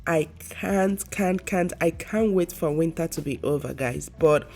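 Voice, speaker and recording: A stuffy-nosed woman with a heavy head cold chats to her viewers in a rambling, friendly way. Her voice sits a little low and sounds mellow and dark. Soft music plays faintly underneath. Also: unhurried, gravelly. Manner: chatty, keeps calling viewers "guys", rambling with fillers. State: congested, stuffy nose from a severe cold. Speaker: lifestyle vlogger. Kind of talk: casual chatty voice-over to viewers. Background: faint background music.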